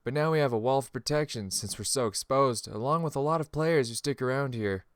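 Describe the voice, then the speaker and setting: A man's voice: mid-range voice, a little fast, no echo, clean studio sound, natural voice. A man voicing a friendly, cocky veteran spearman in a fantasy game audiobook, with a casual, folksy, self-assured manner. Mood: welcoming. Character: friendly, cocky male spearman, seasoned gamer.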